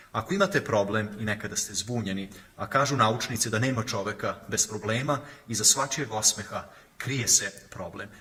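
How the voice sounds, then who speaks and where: Pastor guy doing a fast promo for a youth service, bright tense voice, kinda low. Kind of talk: scripted church event announcement.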